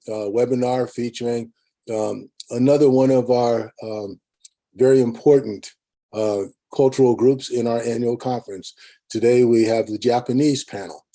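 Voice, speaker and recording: A man moderating a webinar, speaking in a halting, measured way as he hosts without a script. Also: medium pitch, mellow. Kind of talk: unscripted hosting.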